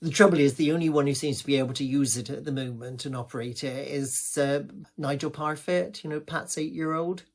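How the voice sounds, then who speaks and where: A man's voice: on the high side, close to an everyday voice. A man acting a gossipy village pantomime pianist. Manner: confiding, rambling, gossipy, with malapropisms. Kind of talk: theatrical comic monologue.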